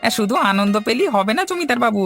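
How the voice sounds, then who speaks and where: A man's voice: very high-pitched, lively intonation, quick pace, fairly bright tone, exaggerated voice. A man voicing a wily, cheeky manservant who spies for both master and mistress in a cartoon. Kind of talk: cartoon voice acting. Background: music.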